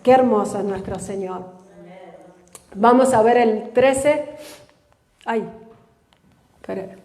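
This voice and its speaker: A woman pastor teaching a live church sermon. She reads scripture slowly and has the congregation repeat after her. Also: fairly high pitch, mellow. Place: church in a large hall. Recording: echoey church hall with long reverb.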